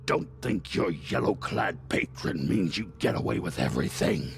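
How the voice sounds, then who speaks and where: A man's voice: low voice, animated, normal speed, full character voice. An actor playing a grumpy, suspicious male innkeeper who scolds his guest with contempt. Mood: grumbling. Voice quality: gravelly. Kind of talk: video game cutscene acting.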